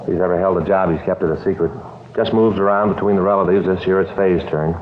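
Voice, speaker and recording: Low-voiced grumbling actor as cop partner. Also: male voice, fairly even intonation, fast talker, close to an everyday voice. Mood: weary, exasperated. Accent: American. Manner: folksy, complaining, chatty. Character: grumbling, put-upon married male police detective partner. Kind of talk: 1950s radio drama acting. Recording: muffled, noisy old radio broadcast.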